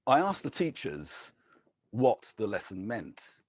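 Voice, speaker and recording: Male poet, lowish husky voice, deliberate poetry reading with offhand intros. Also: big pitch swings, dry recording. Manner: measured, deliberate reading with brief casual asides.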